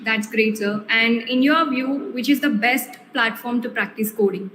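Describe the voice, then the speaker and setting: A woman's voice: fairly high pitch, normal speed, a bit bright. A woman hosting a student seminar, asking questions politely and calling the guest sir. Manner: polite, formal, says sir often. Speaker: student host. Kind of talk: online seminar Q&A. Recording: echoey room with faint noise.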